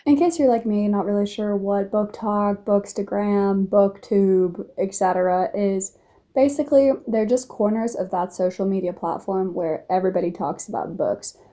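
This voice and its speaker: A woman with a deep, mellow, very dark tone explains in an easy, conversational way. Her delivery is casual and unhurried, and her pitch sits in a middle range.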